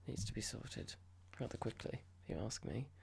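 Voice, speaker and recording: Someone acting out a snooty mean corporate boss, asmr whisper, super husky, pitch moving around, formal and cold. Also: lively intonation, darkish tone, full character voice. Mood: condescending, self-satisfied. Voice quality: breathy, gravelly whisper with clicks. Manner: condescending, measured, pompous, formal. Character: horrible condescending corporate boss, quite young, coldly reprimanding. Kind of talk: whispered ASMR roleplay monologue.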